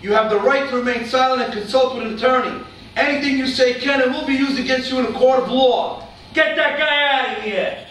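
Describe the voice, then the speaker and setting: A man's voice: extremely high pitch, fairly quick, fairly bright tone. A man playing a commanding, official police special agent in a staged play reading. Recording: very echoey room, distant mic, faint noise.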